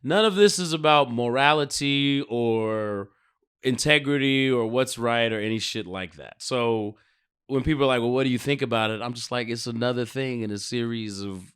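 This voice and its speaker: Clear-voiced American man, slangy and blunt. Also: medium pitch, moderate pace, fairly bright tone. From United States. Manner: slangy, profane, emphatic. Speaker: podcast co-host. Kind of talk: casual podcast chat.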